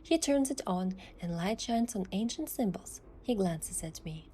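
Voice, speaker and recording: A woman's voice: medium pitch, animated, unhurried, dark tone, slight background noise. A woman giving scripted first-person narration of an adventure story as its protagonist.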